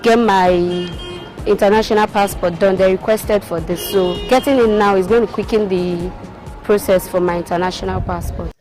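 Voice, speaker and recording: A woman's voice: medium pitch, fairly even intonation, moderate pace, music in the background. A woman answering as an interviewed member of the public. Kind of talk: street interview.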